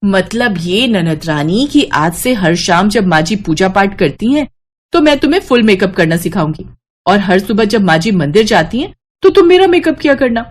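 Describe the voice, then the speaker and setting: A woman's voice: medium pitch, sing-song, normal speed. Woman playing a kind, patient young daughter-in-law. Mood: friendly, encouraging. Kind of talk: Hindi animated moral-story voice acting.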